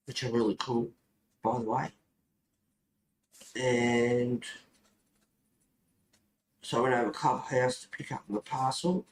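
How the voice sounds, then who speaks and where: A man talks in an informal, rambling way, like someone hosting a laid-back hobby stream. His voice sits at an average male pitch. The room adds a clear echo, with faint noise behind him. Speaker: host of a colouring club stream. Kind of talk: casual rambling chat while colouring.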